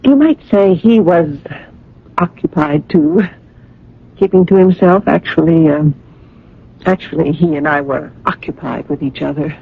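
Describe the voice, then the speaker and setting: An actress playing a coy, hopeful woman in a radio drama, confiding with hesitant, arch pauses. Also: sits low, gravelly, husky, exaggerated voice. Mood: coy, evasive. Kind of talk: 1980 radio drama acting. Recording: dull, hissy vintage radio recording.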